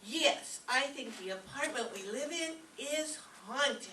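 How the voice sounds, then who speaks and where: A woman's voice: high voice, lively intonation, takes it slowly, bright, forceful tone, full character voice. A woman playing a naive, drowsy, dim-witted young secretary in an old-time radio comedy. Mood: worried, earnest. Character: ditzy, sleepy young female secretary, naive and earnest. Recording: roomy, distant stage recording with faint noise.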